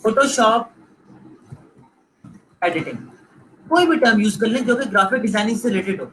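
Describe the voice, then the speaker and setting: Man, Pakistani Urdu accent, online course instructor, high bright voice, slight background hiss. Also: animated, moderate pace, poor audio. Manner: repetitive, coaching, mixes in English phrases. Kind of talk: live online lecture.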